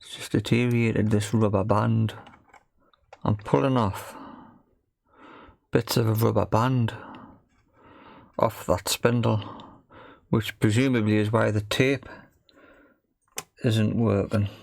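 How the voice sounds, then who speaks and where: A man's voice: lowish voice, steady tone, slow, pauses often, a little mellow, no echo. A man gives casual, thinking-aloud commentary on a repair job, tentative and often questioning himself. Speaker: host of a hobby radio repair video. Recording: clear background noise with clicking.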